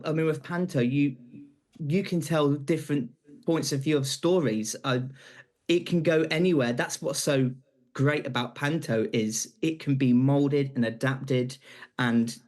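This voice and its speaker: A man's voice: mid-range voice, unhurried, a little mellow. Earnest young British man being interviewed. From Britain. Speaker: interview guest, pantomime graduate. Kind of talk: live TV interview answers.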